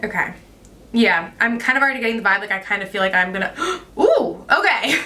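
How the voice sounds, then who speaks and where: Bright, chatty young woman, a bit stuffy. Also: medium pitch, lively intonation, a little fast, slight background noise. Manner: chatty, heavy use of "like" and "you guys". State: stuffy nose from a sinus infection. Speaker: beauty vlogger. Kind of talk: casual makeup review vlog.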